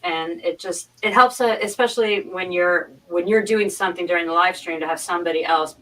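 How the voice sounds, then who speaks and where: A woman talks casually as a guest on a stream, her voice forceful and on the low side. She often doubles back on her phrases as she explains. She sounds somewhat distant from the microphone. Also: fairly quick. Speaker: guest on a live stream, stream producer. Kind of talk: casual chat, explaining and recounting.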